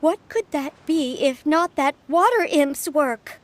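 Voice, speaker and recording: A woman's voice: high-pitched, lively intonation, takes it slowly. A woman voicing an earnest, talkative young lady in a video game, polite, emphatic and fretful. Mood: worried, insistent.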